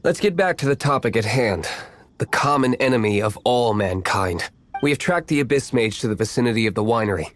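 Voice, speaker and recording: A man's voice: mid-range voice, fairly quick, natural voice. An actor playing a cool, aloof young nobleman in a video game, curt, dry and sardonic. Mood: businesslike. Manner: dry, deadpan.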